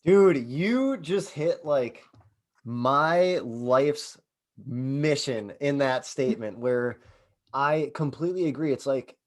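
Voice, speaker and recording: Relaxed male podcast host. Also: mid-range voice, takes it slowly. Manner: relaxed, says like a lot. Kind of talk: casual interview chat.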